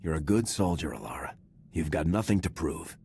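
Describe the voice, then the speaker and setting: An actor playing a steady, resolute commando captain in a video game, confident and brisk, over background ambience. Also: male voice, a bass voice, a little hiss or hum. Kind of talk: video game dialogue acting.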